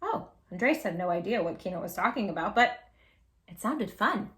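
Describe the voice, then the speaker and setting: A woman's voice: mid-range voice, expressive, moderate pace. A woman hosting a children's read-aloud, chatting to young listeners in an encouraging way and addressing them directly with questions. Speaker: host reading picture books aloud to children.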